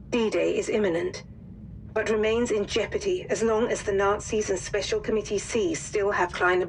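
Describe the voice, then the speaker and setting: Actress as female intelligence officer, measured, grave, formal mission briefing, video game, slight noise. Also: mid-range voice, natural voice. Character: composed female wartime intelligence handler giving formal briefings.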